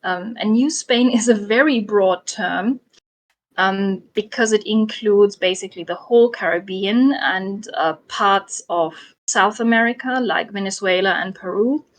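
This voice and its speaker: A young adult woman casually telling a history story, with frequent ums and soft laughs. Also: medium pitch, a little slow. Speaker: guest storyteller.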